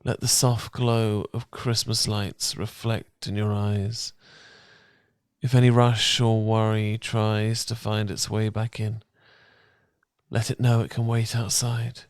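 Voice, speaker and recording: A British man performing soothing, intimate ASMR as a loving boyfriend, speaking softly and gently, at times near a whisper. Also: fairly low pitch, dry recording, clean studio sound. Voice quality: soft, breathy, gravelly, partly whispered. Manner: gentle, calming, intimate, unhurried. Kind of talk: romantic ASMR, soothing guided meditation. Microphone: close, dry ASMR miking.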